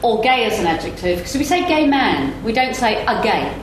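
A woman's voice: fairly high pitch, big pitch swings, moderate pace. Woman on an echoey discussion panel. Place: echoey room at a live event. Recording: echoey room, faint noise.

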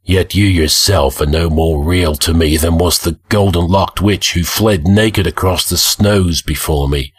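A man's voice: on the low side, fairly even intonation, a little slow, few pauses, fairly bright tone. A man voicing a grim, haunted barbarian warrior with a hazy, dazed delivery in a fantasy audiobook.

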